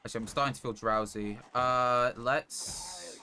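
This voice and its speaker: A man's voice: medium pitch, animated, unhurried. A man live-streaming a survival game, thinking aloud in casual commentary and muttering his decisions to himself. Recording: clearly noisy live stream audio.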